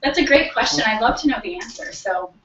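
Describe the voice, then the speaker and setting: A woman's voice: on the high side, animated, fast talker, a crowd in the background. A woman from Canada giving an informal, motivational business presentation to a group, enthusiastic and conversational, often putting questions to the audience. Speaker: presenter at a company team meeting. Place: room in a house, live audience. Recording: roomy, distant, dull, noisy live-feed recording.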